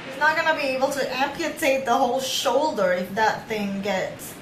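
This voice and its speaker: A young woman giving casual, blunt reaction commentary with swearing and an expressive way of talking. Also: sits high, moderate pace. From Venezuela. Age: young adult. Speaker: reaction video host. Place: small bedroom, indoors. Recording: roomy bedroom sound, clear background noise. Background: show audio and ambient noise.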